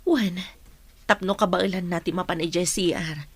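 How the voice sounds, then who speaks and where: A woman's voice: fairly low pitch, moderate pace, breathy edge. A woman playing a caring, burdened middle-aged mother in an audio drama. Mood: concerned, patient.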